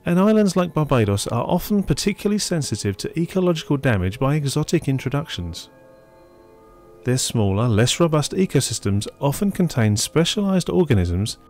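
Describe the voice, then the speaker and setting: A man giving scripted narration for a public information film in a measured, informative way. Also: on the high side, a little mellow. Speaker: documentary narrator.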